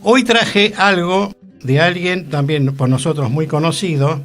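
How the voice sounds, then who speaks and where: A man with a Rioplatense accent, a radio columnist explaining his topic in a chatty, halting way. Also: fairly high pitch, a bit bright, faint music behind. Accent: Rioplatense Spanish. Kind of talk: casual radio chat. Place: radio studio.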